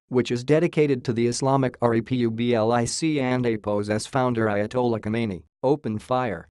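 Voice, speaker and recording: A man's synthetic voice reading a scripted news report in a flat, read-out manner. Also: mid-range voice, no echo, clean studio sound. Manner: flat synthetic read-out, spells out abbreviations and symbols. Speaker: news reader.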